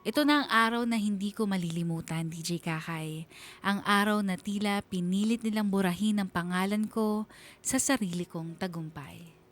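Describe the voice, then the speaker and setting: Woman voicing a sorrowful letter writer, mid-pitched, dramatic confessional radio drama narration, faint background noise. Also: normal speed, close to an everyday voice. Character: anguished, weary letter writer recounting workplace mistreatment.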